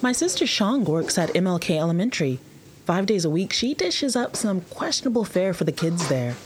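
A chatty, helpful food server, played by a woman, talks casually in an audio drama. The voice sits a little low, and there is slight noise in the recording. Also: very animated, normal speed. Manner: casual, conversational. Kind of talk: full-cast audio drama acting.

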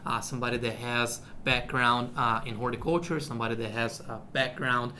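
A man's voice: mid-range voice, fairly even intonation, fairly quick, bright, forceful tone, a little hiss or hum. A man from Brazil, a university agronomy researcher explaining his work in a radio interview, with many fillers and "okay?" checks.

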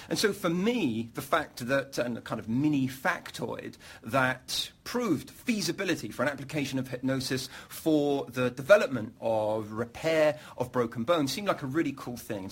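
A man's voice: mid-range voice, fairly bright tone, slightly rough. A man hosting a live panel event, talking with many fillers and repeated words. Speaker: host.

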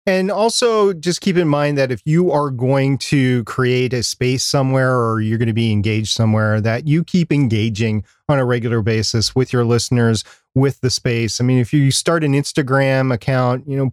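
A man's voice: medium pitch, fairly even intonation, normal speed, hardly any pauses, clear, resonant tone, no room sound, clean studio sound. A man co-hosting a podcast, giving practical advice in a casual, conversational way. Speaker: podcast co-host.

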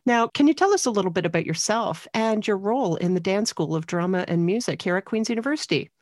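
A woman's voice: medium pitch, animated, fast talker, a little mellow, no room sound, clean studio sound. A woman hosting a campus radio show, introducing a guest and asking questions in a formal, scripted way.

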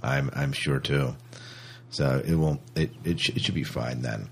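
A man's voice: very deep voice, measured pace, creaky, slight background noise. A man hosting a podcast in casual, unscripted chat about sci-fi news, rambling and thinking aloud as he goes. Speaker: podcast host.

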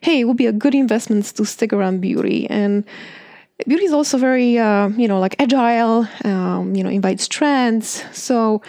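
Polish-accented woman, high and dry. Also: normal speed, darkish tone, dry recording. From Poland. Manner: chatty, many fillers and restarts. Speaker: beauty industry guest. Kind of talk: interview answers.

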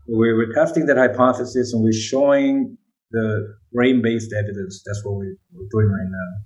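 A man's voice: fairly low pitch, fairly even intonation, normal speed, darkish tone, poor audio. A man whose first language is Korean, speaking English with a Korean accent, answering interview questions about brain research and often checking in with "right?". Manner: explanatory, often adds "right?".

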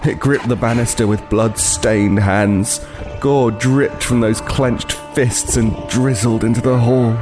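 An actor playing a haunted, remorseful homeless killer, narrating a horror audio drama gravely and with anguish. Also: male voice, mid-range voice, steady tone, slow pace, music in the background, natural voice. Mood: horrified.

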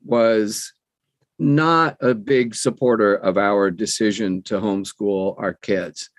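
Older man, low-pitched, folksy storyteller. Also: takes it slowly, dry recording. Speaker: interview guest, audiobook narrator. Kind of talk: conversational interview, storytelling.

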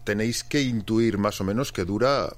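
A man's voice: fairly low pitch, normal speed. A man with a Spain accent asking casual questions as an interviewer.

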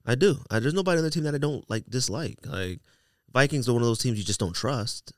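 A man's voice: medium pitch, animated, fast talker, no room sound, clean studio sound. A man chatting casually as a podcast panelist, trading sports opinions and picks.

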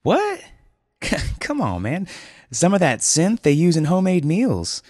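A man voicing an excitable male office worker who talks in loud, eager surfer slang. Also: mid-range voice, moderate pace, breathy edge, no echo, studio quality, pitched above the performer's own voice. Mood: alarmed.

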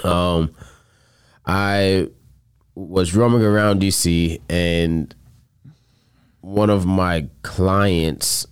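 A man's voice: sits low, fairly even intonation, drawn-out words, long pauses, smooth. A man telling a story in casual chat, earnest and loose, swearing now and then.

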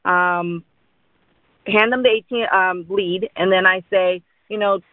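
A woman explains things informally as a sales trainer, often tagging on little check-ins. Her pitch sits on the low side for a listener expecting a light voice, and it comes through a thin, phone-like line. The sound is dry and close, with no room around it. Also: steady tone, unhurried, dry recording. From born in India, grew up in Dallas, Texas. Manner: explanatory, with frequent check-in tags like "right?" and "okay?". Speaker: insurance agent giving sales training. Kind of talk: informal instructional talk.

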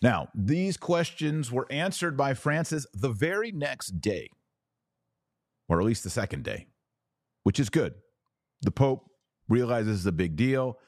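A man's voice: mid-range voice, sing-song, measured pace, long pauses, a little mellow, no room sound, clean studio sound. A man hosting a podcast, giving informal, emphatic religious commentary and often checking in with the listener. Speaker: podcast host. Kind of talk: religious commentary, reading and explaining.

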